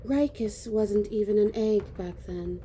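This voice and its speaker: A calm ancient queen, acted by a woman, with a very dark, mellow voice. She speaks slowly and regally, with faint noise on the recording. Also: medium pitch, close to an everyday voice. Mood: grave, knowing. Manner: measured, regal, pondering. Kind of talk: fantasy audio roleplay acting.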